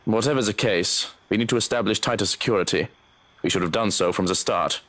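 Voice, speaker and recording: A man's voice: lowish voice, a bit bright, close to an everyday voice. Man playing a composed, formal security man. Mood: measured, tactful. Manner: measured, formal, matter-of-fact. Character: composed, dutiful male security man, cautious and tactful.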